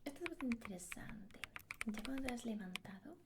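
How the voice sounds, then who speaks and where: A woman's voice: on the high side, expressive, fairly quick. A woman from whispering a patient, praising English lesson as an ASMR roleplay tutor. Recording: clear keyboard typing noise.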